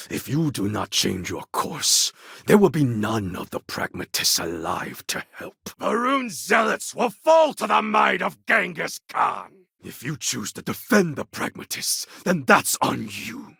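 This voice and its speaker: A gravelly, proud warlord. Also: male voice, medium pitch, very animated, sharp tone, no echo, studio quality, heavily acted. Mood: fierce, defiant. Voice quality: gravelly, husky voice. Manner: deliberate, theatrical, grandly formal delivery. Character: proud warlike male clone of a Mongol conqueror. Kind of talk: video game character acting.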